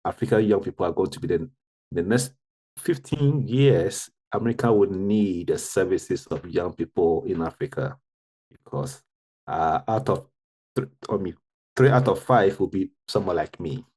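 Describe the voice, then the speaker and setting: A man talks in a roundtable discussion, earnest and full of restarts. His voice sits low, with a husky grain to it. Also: animated, normal speed, dark tone, poor audio. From Ghana. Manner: earnest, with frequent restarts and self-corrections. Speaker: guest, tech founder.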